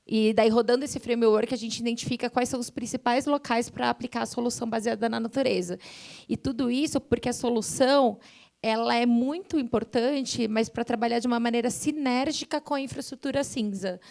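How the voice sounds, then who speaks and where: A woman's voice: a little high, steady tone, fairly quick, vocal fry, darkish tone. A woman with a Brazilian accent explaining environmental science as a panelist at a forum, often adding small tag questions as she goes.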